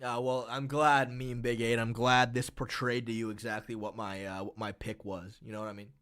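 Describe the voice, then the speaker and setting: A man's voice: medium pitch, little pitch movement, a little fast, fairly bright tone, clean tone. A man hosting a live stream, chatting casually and rambling to his viewers. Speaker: streamer host.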